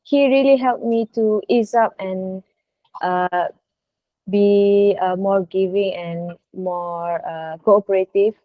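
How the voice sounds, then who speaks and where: Slow-speaking woman, dry close sound. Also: mid-range voice, steady tone, no echo, phone-quality sound. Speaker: voice actress. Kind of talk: casual discussion.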